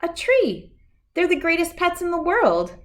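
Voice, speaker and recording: Woman voicing an eager, high-pitched little storybook girl. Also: wildly swooping pitch, measured pace, exaggerated voice. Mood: eager, insistent. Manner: eager, insistent. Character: earnest, devoted little girl who loves her tree.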